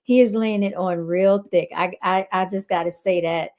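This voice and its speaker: A woman's voice: lowish voice, steady tone, moderate pace, darkish tone. An American woman hosting a reaction show, giving casual, opinionated commentary and repeating her points for emphasis.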